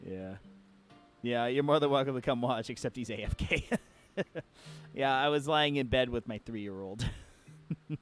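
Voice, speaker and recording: A man in his thirties with a voice higher than most men's, chatting casually while livestreaming a game and telling stories with lots of laughter. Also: moderate pace, long pauses, fairly bright tone, soft background music. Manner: laughing storyteller who acts out quoted voices. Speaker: streamer.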